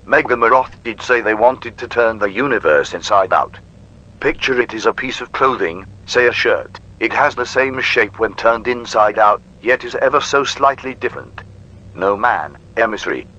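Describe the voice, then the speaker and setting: A man voices an eloquent, gentlemanly machine aiding investigators in a horror drama. Its medium-pitched voice is crisp-edged and formal. It comes through a dull radio filter. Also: moderate pace. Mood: solemn, explanatory. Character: courteous, erudite male machine companion to investigators. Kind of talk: analog horror audio drama. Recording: dull, radio-like band-limited sound.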